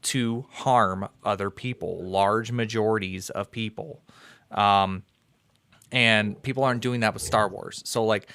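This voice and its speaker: A man's voice: lowish voice, fairly bright tone, clear, resonant tone, no echo. A young man from the United States hosting a live call-in debate stream, talking casually with many fillers and an upbeat sign-off. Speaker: livestream host.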